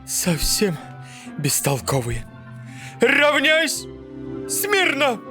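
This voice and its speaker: A woman's voice: sits high, wildly swooping pitch, very slow, over music, full character voice. A woman voicing a self-important pot-bellied male teapot, pompous and commanding, in a bedtime fairy tale. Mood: stern. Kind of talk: bedtime puppet-theatre fairy tale acting.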